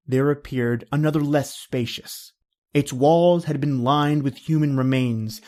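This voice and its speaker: A man narrating a gothic horror story from a script in a measured, literary manner. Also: fairly high pitch, darkish tone.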